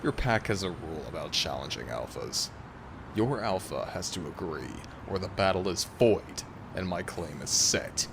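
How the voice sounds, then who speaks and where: A man's voice: low-pitched, animated, normal speed, vocal fry, noisy background. A man playing a proud, coldly arrogant male alpha werewolf in an audio drama, with a formal, haughty, threatening manner. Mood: arrogant, menacing. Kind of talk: dramatic audio roleplay acting.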